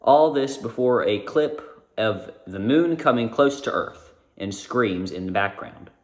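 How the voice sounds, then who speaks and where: Male narrator for a casual explainer; mid-pitched, relaxed delivery, recorded in an echoey room. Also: a little slow. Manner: informal, with self-corrections. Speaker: video essay narrator. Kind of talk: narrated video essay. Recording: roomy echo.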